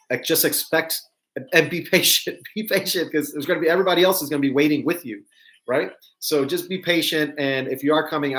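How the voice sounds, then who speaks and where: A man's voice: fairly high pitch, fairly bright tone. A man from New York with a mixed American accent, touches of New York and Southern, hosting a casual live news chat and rambling to viewers with frequent ums and asides. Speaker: host of a live news show.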